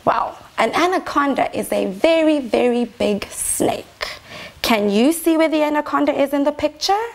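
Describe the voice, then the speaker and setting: A woman's voice: high voice, expressive, moderate pace, vocal fry. A young woman teacher reading a picture book aloud to small children, expressively and with prompting questions. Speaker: infant teacher reading a storybook. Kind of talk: children's story time read-aloud. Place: small decorated indoor reading corner.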